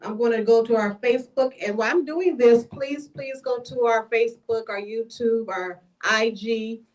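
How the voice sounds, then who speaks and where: Upbeat woman host, high voice, chatty, with frequent laughs. Bookshop event, handheld mic. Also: moderate pace. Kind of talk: live-streamed author interview. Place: bookshop in front of a small audience. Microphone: handheld microphone.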